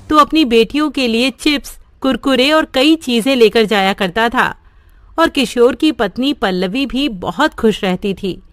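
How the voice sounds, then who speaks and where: A woman narrating a simple cartoon story in a steady storybook manner. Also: on the high side, dry recording.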